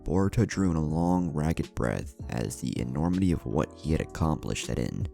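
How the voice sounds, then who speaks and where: Male narrator for dramatic fiction: very low, gravelly, lively intonation over music. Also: normal speed. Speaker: narrator of a fan fiction story. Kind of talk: scripted dramatic story narration.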